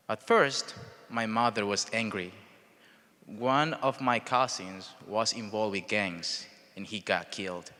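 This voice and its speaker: A young man from El Salvador with a Salvadoran Spanish accent gives a prepared personal speech in short, deliberate phrases. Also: fairly low pitch, sharp tone. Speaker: speaker telling his life story at a charity event. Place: large event hall, speaking to an audience. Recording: reverberant large hall sound.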